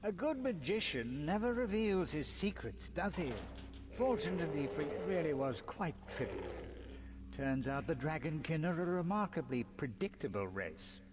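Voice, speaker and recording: A man voicing a sly, mocking, gloating sorcerer villain in a video game, with teasing, showy, drawn-out phrasing. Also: a little high, big pitch swings, background noise. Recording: game audio with loud background ambience.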